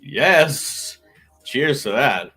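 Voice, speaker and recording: Casual male podcast co-host, punchy hype-man delivery, bright edge. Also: medium pitch, expressive, very slow, husky. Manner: hype-man openings, emphatic repeats.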